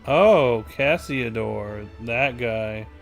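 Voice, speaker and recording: Grumbling male streamer, mid-pitched. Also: drawn-out words, creaky. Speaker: game streamer. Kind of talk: casual livestream commentary. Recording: game music behind the voice.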